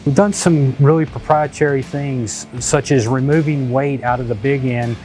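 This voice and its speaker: 50s guy talking engine parts in a lab, uh's and restarts, soft music under. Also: medium pitch, steady tone, normal speed. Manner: technical, with fillers and restarts. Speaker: company director presenting a product. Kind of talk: corporate product video talk. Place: indoor inspection lab with machines. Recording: faint music behind.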